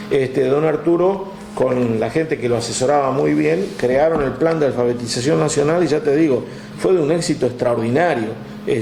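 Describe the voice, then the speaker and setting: A man with an Argentine accent, telling history in a relaxed chat, with many "este" fillers, reading out figures from a text. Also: mid-range voice, vocal fry, echoey room. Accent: Argentine Spanish. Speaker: guest storyteller. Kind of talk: conversational history talk.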